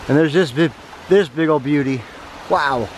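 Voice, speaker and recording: A man's voice: a little high, moderate pace. Guy in his 30s talking to his camera on the trail, folksy and easygoing, kinda worn out, faint outdoor noise. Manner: folksy, easygoing, talks to the camera while hiking. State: tired. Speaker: thru-hiker vlogger. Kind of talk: casual trail vlog narration. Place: outdoors on a wooded mountain trail. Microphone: handheld camera at arm's length.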